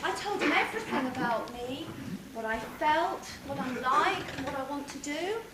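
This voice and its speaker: A woman acts a young panto heroine, very high and animated. She is emphatic and forthright, heard from far off on a stage. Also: normal speed. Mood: hurt, indignant. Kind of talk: amateur pantomime stage acting. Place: theatre or hall stage, live performance. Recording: distant echoey stage, loud noise.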